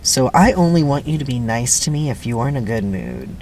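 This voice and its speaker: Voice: medium pitch, huge pitch swings, a little fast, a little mellow, slight background noise. A person voicing a cynical sixteen-year-old boy in a dramatic reading, blunt and sardonic. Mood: sullen, bitter.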